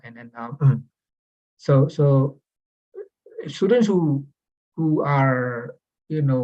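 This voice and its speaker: A man whose first language is Indonesian moderates a casual online panel, halting and restarting often with plenty of fillers. His voice sits at a middling pitch and comes through a dull, lo-fi video call line. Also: warm tone. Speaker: webinar moderator.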